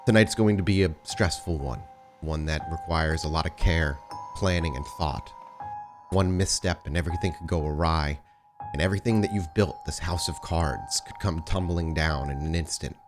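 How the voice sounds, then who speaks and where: A man with a low voice hosts a tabletop role-play podcast. He moves between casual host chat and storyteller narration, with music clearly audible behind him.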